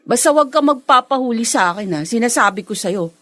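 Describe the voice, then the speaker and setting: A woman's voice: on the high side, lively intonation, moderate pace, fairly bright tone, no room sound. An actress playing a feisty, jealous young girlfriend in a radio drama, with a sassy, opinionated way of talking. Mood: teasing, threatening.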